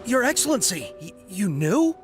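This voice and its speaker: High, fervent young male soldier. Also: very animated, moderate pace, soft background music. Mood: pleading, fervent. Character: earnest, desperate young male soldier pleading with his leader. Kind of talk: video game voice acting.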